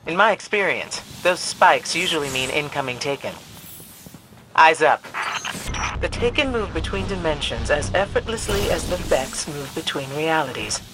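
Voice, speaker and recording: A woman playing a wise, composed warrior-scholar in a video game, measured and grave with dry wit. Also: deep voice, lively intonation. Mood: pensive, watchful. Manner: measured, grave, dryly witty. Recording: gameplay noise underneath.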